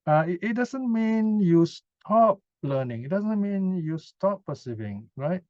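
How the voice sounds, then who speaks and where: A man's voice: sits high, expressive, slow, no echo. A man giving an informal Buddhist teaching talk and answering questions, conversational and often checking in with short tag questions. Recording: dull lo-fi sound, dry.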